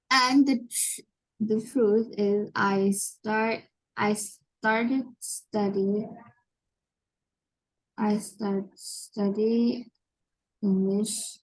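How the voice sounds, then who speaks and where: Slow, halting woman with Vietnamese accent. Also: mid-range voice, darkish tone, rough recording. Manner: halting, searching for words, frequent restarts. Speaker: English learner. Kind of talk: online English lesson conversation.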